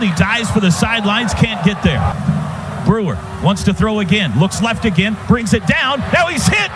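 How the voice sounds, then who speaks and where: A man calling live football on radio as the play-by-play announcer, in clipped phrases that build to shouts on big plays, over loud stadium noise. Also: sits high. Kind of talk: excited live football commentary. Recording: noisy stadium radio broadcast.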